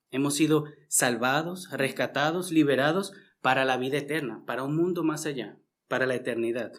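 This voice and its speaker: Venezuelan man preaching a sermon. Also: fairly high pitch. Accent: Venezuelan Spanish. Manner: conversational, frequent tag questions and restarts.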